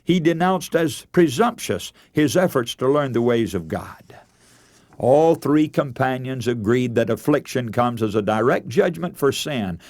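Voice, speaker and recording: A man's voice: medium pitch, darkish tone, dry recording, studio quality. A man preaching a scripted radio sermon, telling a story in a solemn, formal and rhetorical manner.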